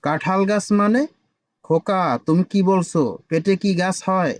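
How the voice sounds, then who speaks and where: A man's voice: high voice, fairly even intonation, moderate pace, background noise, close to an everyday voice. A man voicing an easily flustered, incredulous village doctor in a comic cartoon. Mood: exasperated. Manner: incredulous, exasperated.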